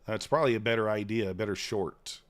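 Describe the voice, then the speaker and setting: A man's voice: fairly low pitch, fast talker. Man, livestream trader, casual rambling chat, reads chat aloud.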